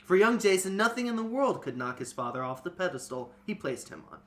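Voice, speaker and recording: A man's voice: high voice, big pitch swings, a little fast. A man reading a story aloud as host, with dramatic emphasis, swelling and then trailing off. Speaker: host and reader. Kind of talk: expressive read-aloud storytelling.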